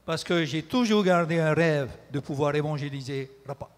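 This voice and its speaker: A man interpreting a sermon in a church, measured and sometimes hesitant. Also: fairly high pitch, long reverb tail. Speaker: pastor and interpreter. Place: church hall.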